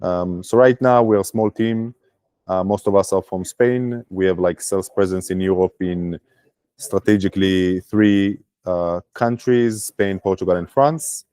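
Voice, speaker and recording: A man from Israel, a startup founder, talking casually on a video call with many pauses and fillers. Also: sits low, dark tone, clean tone, dry recording, clean studio sound.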